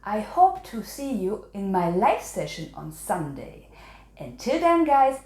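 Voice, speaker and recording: A woman's voice: medium pitch, very animated, a little slow, darkish tone, reverberant. A woman teaching a language quiz to viewers, instructive, repeating and carefully enunciating her example sentences. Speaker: language teacher and channel host.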